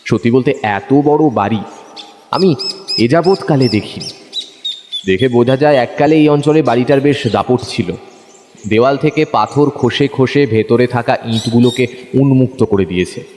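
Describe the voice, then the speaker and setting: A man's voice: mid-range voice, normal speed, darkish tone, natural voice. A man playing a detective's observant, curious companion, telling the story in the first person as a dramatised audio narration. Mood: storytelling. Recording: long hall reverb, faint background noise.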